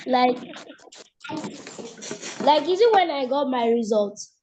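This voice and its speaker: A woman's voice: high voice, lively intonation, slow, mellow, lo-fi sound, a little hiss or hum. A young woman student answering her teacher on a video call, hesitant and unsure.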